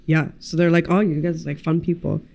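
A woman, a graphic designer chatting as a podcast guest, animated and loose, swearing and dropping Arabic words into her English. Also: low-pitched, fairly quick. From United States. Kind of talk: casual interview chat. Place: studio room with pinned boards. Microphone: desk mic on stand, headphones.

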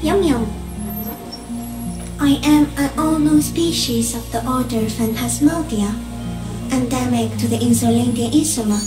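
A woman voicing an ancient, gentle, alien stick insect in a video game, speaking solemnly in measured, poetic, halting phrases. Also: fairly high pitch, lightly acted. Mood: quietly matter-of-fact. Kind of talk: dramatic video game dialogue. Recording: echoing voice over game music. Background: music.

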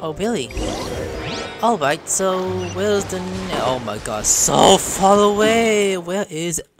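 A woman streaming a video game with casual commentary, playful and excitable, given to exclaiming. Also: fairly low pitch, takes it slowly. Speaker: gaming streamer. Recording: loud game music behind. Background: video game music and sounds.

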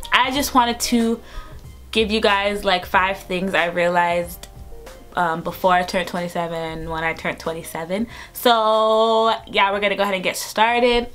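A woman's voice: mid-range voice, fairly bright tone. A woman in her twenties talking casually to camera from her bedroom, upbeat and chatty with lots of fillers. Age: 20s. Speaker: vlogger. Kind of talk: casual vlog talk to camera. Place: bedroom, indoors.

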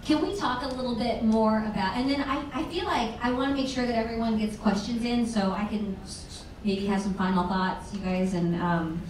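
A woman moderating a panel, asking relaxed conversational questions. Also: fairly high pitch, moderate pace, a little hiss or hum. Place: large event room with audience. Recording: echoey room recorded from far off.

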